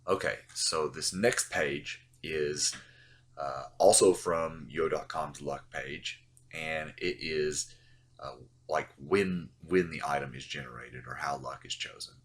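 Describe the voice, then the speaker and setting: Super deep voiced guy, stuffy from the flu, rambling through a game guide, lots of uh's. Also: slow pace. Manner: loose, rambling, many uh fillers. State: stuffy, getting over the flu. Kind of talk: casual explanatory voice-over.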